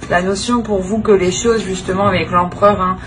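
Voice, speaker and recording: A woman's voice: mid-range voice, fairly even intonation, fairly quick, slight background noise. A woman giving an improvised tarot reading straight to the viewer, feeling her way through repeated phrases and tag questions. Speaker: tarot reader, channel host.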